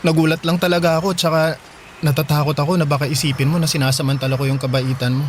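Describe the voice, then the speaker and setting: A man's voice: a little high, level tone, fast, a little hiss or hum, lightly acted. A man playing a shy, sincere young suitor from the provinces in an audio drama. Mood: earnest. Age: young adult. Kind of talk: Tagalog audio drama acting. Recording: music behind.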